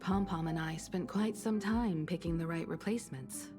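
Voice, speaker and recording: An actress playing a composed, gentle, dryly teasing grown daughter in a video game, soft-spoken and measured. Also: female voice, lowish voice, over music, natural voice. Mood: calm, informative.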